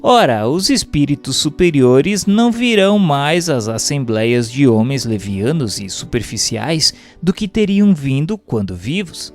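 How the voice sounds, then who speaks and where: A Brazilian man narrates a written text in a mid-range voice. His delivery is formal and measured, like a teacher setting out principles. Soft music plays behind him. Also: medium pitch, sing-song. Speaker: narrator reading a spiritist book aloud. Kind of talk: scripted audiobook narration.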